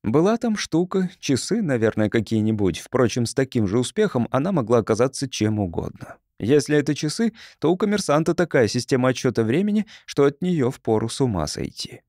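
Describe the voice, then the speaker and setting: A man reading an audiobook story as narrator, in an expressive scripted style. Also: medium pitch, brisk, hardly any pauses, dry recording, clean studio sound.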